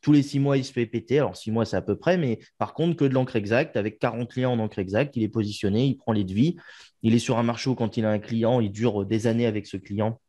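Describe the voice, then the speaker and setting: Male podcast host, laid-back conversational delivery, warm low timbre, casual interview. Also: fast. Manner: relaxed, chatty, trails off with "quoi".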